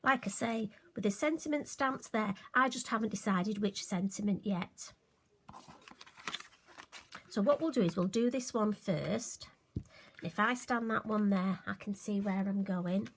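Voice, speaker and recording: A woman, slightly hoarse and occasionally croaky, chatting through a crafting tutorial with friendly, self-deprecating asides. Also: medium pitch, measured pace, a little hiss or hum. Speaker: card-making demonstrator.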